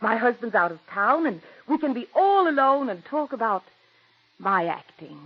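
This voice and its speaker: An actress voicing a celebrated, vain female stage actress, with a husky, theatrical 1940s radio delivery. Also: a little high, very animated, moderate pace, heavily acted. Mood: gracious. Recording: dull 1940s radio broadcast.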